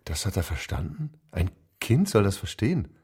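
An actor playing an ageing, gruff and domineering father in a radio drama, halting and repeating himself. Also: on the low side, very animated, darkish tone, no room sound, studio quality, heavily acted. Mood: grim disbelief. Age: older.

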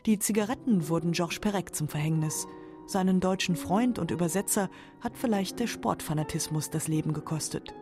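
A woman's voice: fairly low pitch, fairly quick, over music. A woman narrating a scripted radio feature as its narrator.

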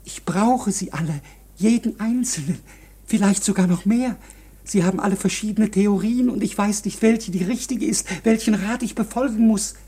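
A man's voice: sits high, moderate pace, dark tone, husky. A man playing a timid, obsessive litigant in a radio play, furtive and pleading, often dropping to whispers. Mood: agitated, obsessive. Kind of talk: German radio play acting.

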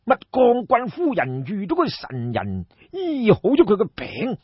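A man's voice: high voice, wildly swooping pitch, unhurried, breathy edge, pitched above the performer's own voice, full character voice. A man voicing an aging, ailing, credulous king of ancient China in an audiobook, sighing and marvelling. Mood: astonished, curious. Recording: dull, roomy audiobook recording.

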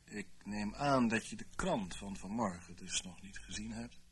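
An actor in a radio play playing a commanding intelligence chief, measured, drily sardonic and coolly accusing. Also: male voice, on the low side. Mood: dry, controlled. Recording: clearly noisy old radio play recording.

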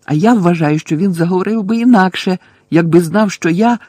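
A man's voice: high-pitched, a little fast, a little mellow, dry recording, studio quality, voice pushed up. A man voicing an earnest, anxious teenage girl witch in an audiobook, pleading and stubbornly reasoning. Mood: hissing, insistent.

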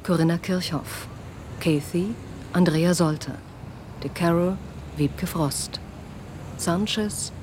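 A woman announcer reading radio drama credits in a measured, formal manner. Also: lowish voice, background noise.

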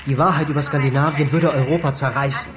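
An actor plays a guarded male official in a radio drama. He speaks at medium pitch with measured authority, over clearly audible music. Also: steady tone, close to an everyday voice. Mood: grave warning. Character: cool, authoritative official guarding a dangerous secret. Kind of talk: German radio drama acting. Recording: music behind the voice.

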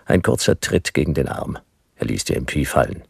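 A man's voice: extremely low pitch, sing-song, a little fast, darkish tone, no echo, full character voice. A man playing a world-weary private detective in a noir radio drama, narrating in clipped, laconic, wry phrases. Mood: wry narration. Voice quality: gravelly.

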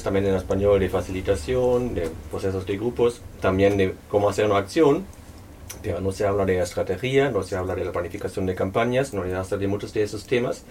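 Very low voice, non-native speaker at a book talk, lots of ums, mic a bit far off with faint room noise. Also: a little mellow. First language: not Spanish. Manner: hesitant, thoughtful, many pauses and fillers. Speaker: author presenting a book. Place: local venue at a public presentation.

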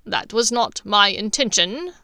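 A stern elderly headmaster weighs each word slowly and gravely, voiced by a woman reader. His tone stays cool and measured, with a close, dry sound. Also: mid-range voice, lively intonation, sharp tone, no room sound. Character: stern old male headmaster wizard.

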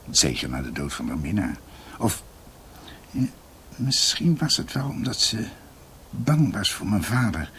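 An actor playing a brooding doctor who recounts his family's history in a halting, reflective, emphatic way, as in a radio drama. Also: sits low, a little hiss or hum, full character voice.

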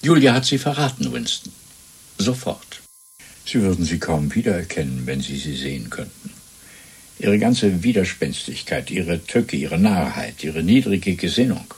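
An actor playing a cold, fanatical interrogator in a radio play, deliberate and patronising, with a gravelly voice that often sinks to a whisper. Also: male voice, low-pitched, lively intonation, full character voice. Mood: smooth, superior. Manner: deliberate, patronising, swinging between soft and commanding. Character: cold, fanatical male Party interrogator and torturer. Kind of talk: 1970s German radio play acting.

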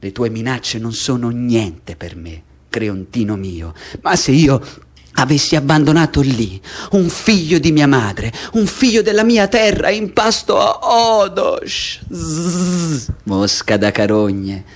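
A man's voice: medium pitch, expressive, slow pace. A male actor playing a defiant condemned young woman in a theatrical radio play, declamatory and mocking. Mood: defiant, taunting. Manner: declamatory, mocking, swinging from hushed to impassioned.

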